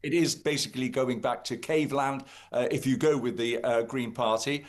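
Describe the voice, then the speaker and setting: A man's voice: mid-range voice, steady tone, normal speed. A man with a Welsh accent, a guest commentator on a live talk radio show, speaking emphatically with frequent fillers.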